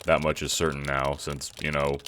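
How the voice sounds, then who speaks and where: Performer playing a deep-voiced, jaded teenage boy. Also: normal speed, slight background noise. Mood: deadpan, jaded. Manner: deadpan, foul-mouthed. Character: jaded, sarcastic, irritable teenage boy gamer. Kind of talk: audio drama character acting.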